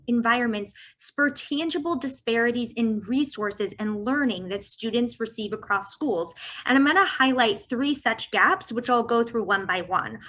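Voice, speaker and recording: A woman's voice: fairly high pitch, sharp tone. Woman giving a slide presentation. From California, US. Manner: measured, reading figures off slides. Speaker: guest speaker.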